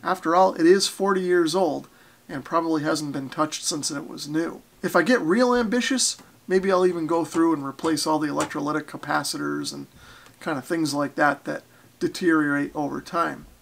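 Man, American, forties, slightly high voice, creaky, casual to-camera explanation, self-deprecating. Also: normal speed. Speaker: ham radio vlogger. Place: basement radio workshop indoors.

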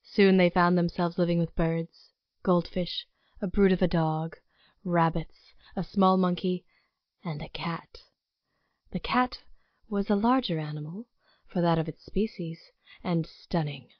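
A woman playing an ominous storyteller, narrating a Gothic horror tale in a measured, theatrical, sinister way. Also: lowish voice, no echo, natural voice. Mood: storytelling. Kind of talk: scripted dramatic narration. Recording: dull recording with music underneath.

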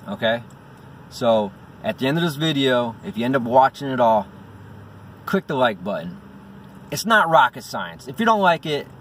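Man in his forties, lively swings in pitch, blunt casual vlogger, recorded slightly off-mic in a car. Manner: blunt, profane. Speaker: amateur comedian vlogging. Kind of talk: casual vlog with stand-up bits. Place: inside a parked vehicle. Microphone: phone or camera at arm's length. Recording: in-car, distant mic, faint noise.

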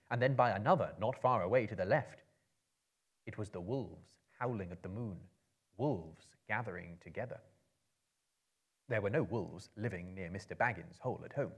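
Man in his thirties, storyteller, lowish husky voice, theatrical, measured phrasing, fantasy narration. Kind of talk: dramatic reading of a fantasy novel to a live audience. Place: book-lined room with a live audience.

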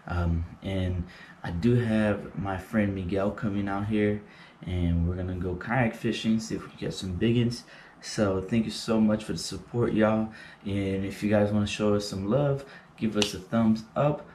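A man's voice: lowish voice, fairly even intonation, unhurried, darkish tone, slight background noise. A young man hosting a fishing channel, giving an upbeat intro from a garage and thanking viewers. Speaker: channel host. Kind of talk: vlog intro. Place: indoor garage.

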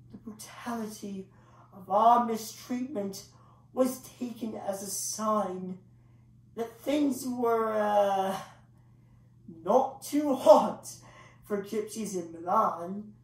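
A woman performing a dramatic monologue as a grandiloquent old English male clown, pausing for effect as he tells his bittersweet tale. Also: mid-range voice, darkish tone, close to an everyday voice. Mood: pained, bitter. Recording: echoey room, distant microphone.

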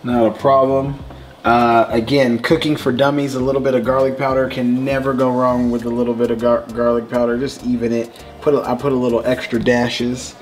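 A man's voice: medium pitch, level tone, fairly quick, soft background music. A man in his thirties co-hosting a home cooking show, talking in an upbeat, easygoing, jokey way while seasoning, on a lapel mic in a home kitchen. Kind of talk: casual cooking show chat.

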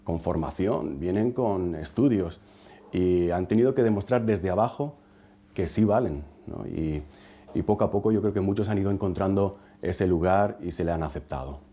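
Calm, mellow middle-aged man. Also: low voice, normal speed. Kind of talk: interview comment.

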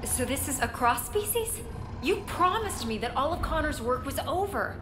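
A woman's voice: high voice, very animated, moderate pace, noisy background. An actress playing an earnest, daring young woman who works in a lab, in a video game, speaking in a conspiratorial, questioning way. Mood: troubled. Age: young adult. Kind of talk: cinematic video game acting. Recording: echoey, loud cinematic music behind.

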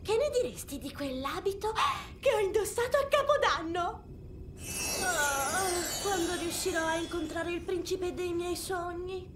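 A spoiled young society belle from a cartoon game. Her voice is extremely high and takes its time, fussing and gushing theatrically. Music plays clearly behind her. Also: expressive, a little slow. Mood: dramatic, pouting. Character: spoiled, excitable young Southern belle heiress. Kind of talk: animated video game character acting.

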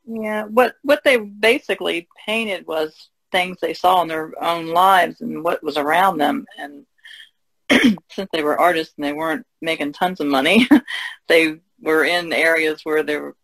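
A woman with a very gravelly, creaky voice talks as a panelist in an easygoing discussion. The sound is dry, with hardly any room around her. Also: sits low, a little slow, a bit bright, dry recording, poor audio. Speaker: podcast panelist. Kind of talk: casual discussion.

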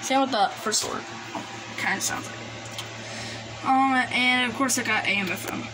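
High-voiced woman rambling through a noisy vlog. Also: moderate pace. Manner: hesitant, rambling, informal. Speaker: collector presenting gadgets. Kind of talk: casual show-and-tell vlog. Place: small indoor room at a desk. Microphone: handheld phone camera. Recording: noisy handheld recording, background hiss. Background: noise and radio devices.

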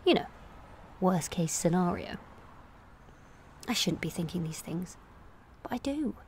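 A woman in her late twenties performing a confessional comic monologue in a candid, wry, self-questioning way, moving between confiding and animated. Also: fairly low pitch, a little slow, a little hiss or hum. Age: late 20s. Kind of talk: confessional comic audio monologue, present-tense narration.

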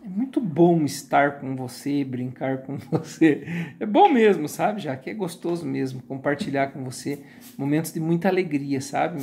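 A man in his forties with a Brazilian accent, sitting on a sofa and talking warmly and supportively into his phone in a casual live stream. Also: on the high side, a little hiss or hum. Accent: Brazilian Portuguese. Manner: warm supportive, many filler tags. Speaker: YouTube channel host and moderator. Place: living room sofa, indoors. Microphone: phone camera held close.

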